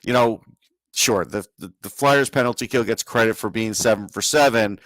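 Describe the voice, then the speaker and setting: Man, low-set voice, dry sound, casual sports analysis, opinionated, emphatic, conversational. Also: moderate pace, a bit bright, no room sound. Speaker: sports podcast co-host. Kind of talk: casual hockey analysis chat.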